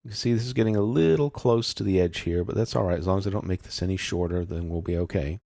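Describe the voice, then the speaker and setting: A man narrating an informal, step-by-step software tutorial, explaining things methodically and without a script. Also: low-pitched, fast talker, vocal fry, no room sound, clean studio sound.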